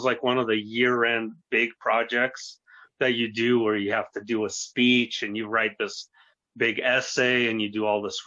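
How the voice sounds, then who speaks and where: A man in his early thirties, a native Russian speaker raised in the United States, giving an earnest, halting personal account as an interview guest on a lo-fi recording. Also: mid-range voice, pushed and bright. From born in Russia, grew up in the United States. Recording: dull, lo-fi voice recording.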